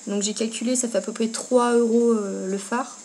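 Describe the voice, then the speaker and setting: A woman's voice: fairly high pitch, fairly even intonation, moderate pace, dark tone. A woman giving a casual step-by-step makeup tutorial, talking informally as she works. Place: indoors at home. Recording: noticeable background noise, amateur home video.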